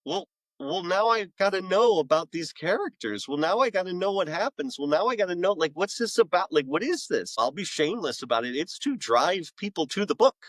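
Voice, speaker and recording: A man's voice: high voice, expressive, brisk, a bit bright, no echo. Middle-aged man chatting, close dry sound. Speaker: author and podcast guest. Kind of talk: conversational podcast interview.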